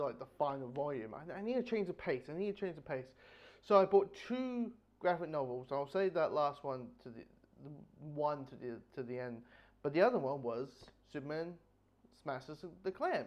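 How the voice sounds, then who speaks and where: Dull lo-fi recording; male reviewer, slightly high-voiced, rambling and blunt, casual unscripted delivery. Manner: rambling, halting, repeats phrases. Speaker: book reviewer.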